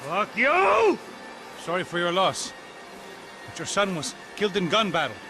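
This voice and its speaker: Defiant wisecracking game sidekick, raised pitch, very lively swings, sardonic, loud music behind. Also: male voice, unhurried, full character voice. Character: wisecracking, defiant tough male action-game partner.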